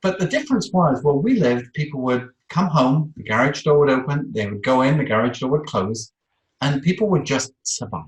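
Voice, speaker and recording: A man's voice: mid-range voice, animated, moderate pace. British man, conversational, in an echoey room. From Isle of Man, UK. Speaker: interview guest, social media expert. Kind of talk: conversational personal storytelling. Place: online video call in a room. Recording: roomy, echoey video-call sound.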